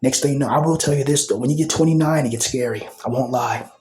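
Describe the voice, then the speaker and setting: A man's voice: mid-range voice, quick pace, a little mellow. A man in his thirties rambling casually in a vlog, full of slang, fillers and repeated phrases, sometimes dropping to a whisper. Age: 30s. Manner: loose slang-filled talk with many fillers and repetitions. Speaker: vlogger.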